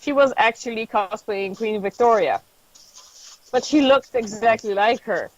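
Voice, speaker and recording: A woman's voice: fairly high pitch, expressive, measured pace, a little hiss or hum. A woman in her fifties, a guest on a casual panel chat, telling reflective anecdotes at an easy pace. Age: 50s. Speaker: podcast guest. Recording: dull lo-fi sound.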